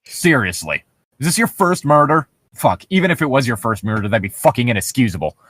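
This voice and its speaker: Sarcastic, swearing man with lively pitch. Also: brisk, dry recording. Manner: profane, sarcastic, ranting. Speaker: podcast co-host. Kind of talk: casual comedic commentary on read-aloud horror stories.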